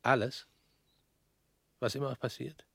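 An actor in a radio play voicing a weary former prosecutor, measured and probing, sometimes dropping to a near whisper. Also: male voice, medium pitch, fairly even intonation, creaky, bright, forceful tone, no echo, heavily acted.

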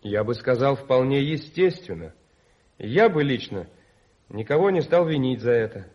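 An actor playing an earnest young socialist gentleman in a radio play, polite but defiant. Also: medium pitch, lively intonation, moderate pace, close to an everyday voice. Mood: provocative, calm. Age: young adult. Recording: muffled 1981 radio recording.

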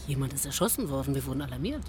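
A woman's voice: a bass voice, lively intonation, racing. A female detective in a radio drama, asking direct questions in a casual, inquisitive way. Faint background noise runs behind her. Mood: cool, inquisitive. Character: female chief police detective, cool, inquisitive.

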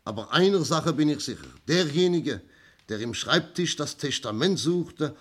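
A man playing a shrewd, self-assured police inspector in a 1960s radio crime drama, explaining things theatrically with emphatic pauses. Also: fairly high pitch, lively intonation, fairly bright tone, breathy edge. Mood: confident, emphatic. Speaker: actor in a radio play.

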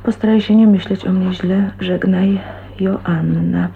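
Hushed, heartbroken young woman, tinny recording. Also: mid-range voice, fairly even intonation, unhurried. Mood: restrained sorrow. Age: young adult. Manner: hushed, breathy murmuring to herself. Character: shy, heartbroken 29-year-old woman leaving her husband. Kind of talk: radio drama acting. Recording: tinny, band-limited 1980s radio recording, hissy.

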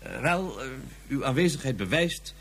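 A pompous federation inspector. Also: male voice, mid-range voice, big pitch swings, normal speed, slight background noise. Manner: bureaucratic, pompous. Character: officious male federation police inspector. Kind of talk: radio drama acting.

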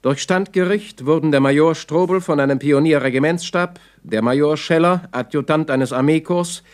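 A man's voice: on the high side, moderate pace. A man announcing in a clipped, official and impersonal way, in the style of 1960s documentary radio drama. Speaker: announcer of times, dates and bulletins.

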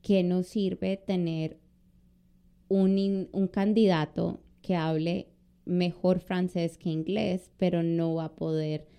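A middle-aged woman co-hosting a podcast in an easy, conversational way. Her voice sits fairly low, mellow and smooth, and she often restarts her phrases as she thinks aloud. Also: level tone, takes it slowly. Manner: hesitant, many restarts and fillers. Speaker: immigration lawyer and podcast co-host. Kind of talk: casual conversational chat.

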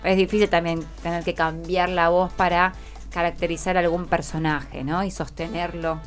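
A woman's voice: on the low side, level tone, moderate pace. Argentine woman hosting radio over background music. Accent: Argentine Rioplatense. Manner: encouraging, checks in with short tag questions. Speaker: radio host interviewing schoolchildren. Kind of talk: casual radio interview. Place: radio studio. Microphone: close studio microphone.

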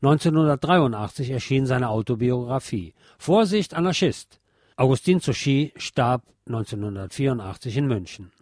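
A man narrates a scripted historical programme. His voice sits at a middle pitch, and the recording is dry, with hardly any room sound. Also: animated, moderate pace, darkish tone, dry recording. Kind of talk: scripted documentary narration, reading a historical text.

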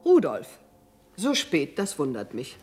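An actress playing a brisk, dutiful businesswoman in a radio play, matter-of-fact and unsentimental. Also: deep voice, wildly swooping pitch, a little mellow, heavily acted. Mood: brisk, businesslike. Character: brisk, dutiful businesswoman running a family brewery. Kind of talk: German radio play acting.

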